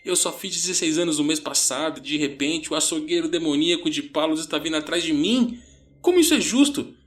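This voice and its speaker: A man's voice: fairly high pitch, animated, fast, a bit bright, voice pushed up. A man voicing a panicked, exasperated sixteen-year-old boy in a horror audio story, stammering and ranting. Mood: frantic.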